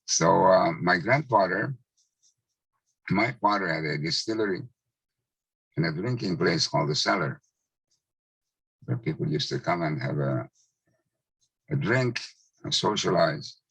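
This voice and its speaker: Man in his 90s, Hungarian native speaker, very low voice, gravelly, husky, dry close sound, slow measured telling. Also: expressive, a bit bright, dry recording, poor audio. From Czechoslovakia. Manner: slow, measured recollection with pauses. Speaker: Holocaust survivor speaker. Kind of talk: testimony talk.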